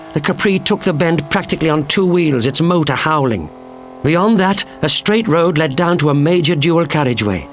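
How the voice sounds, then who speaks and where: A man's voice: a little high, a bit bright. A man reading a thriller story as a dramatic, scripted audiobook narration.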